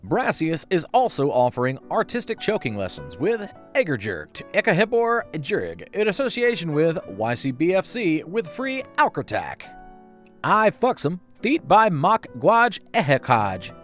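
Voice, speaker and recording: A man narrates an online video in a fairly high, very animated voice. His delivery is scripted but playful, full of wry and self-deprecating asides. Soft music plays underneath. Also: unhurried. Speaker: YouTube video essay narrator.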